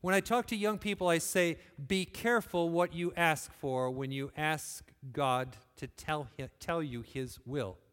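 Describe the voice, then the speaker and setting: A man from West Michigan preaching a sermon in a church, earnest, pushing phrases up and then letting them fall quiet. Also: fairly high pitch, measured pace, hardly any pauses, clear, resonant tone. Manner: earnest, rising and falling emphasis, quiet closing phrases. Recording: long hall reverb.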